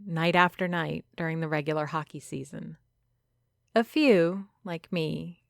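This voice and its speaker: A woman narrating a first-person story from a script, reflective and measured, with dramatic phrasing. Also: lowish voice, no room sound, studio quality. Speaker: narrator of a fiction podcast.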